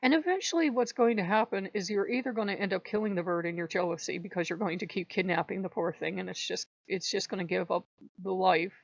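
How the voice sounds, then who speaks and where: A hoarse woman hosting her channel, telling a story in a rambling, casual way and stopping to clear her throat. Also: medium pitch, quick pace, dry recording. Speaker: channel host. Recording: dull lo-fi recording.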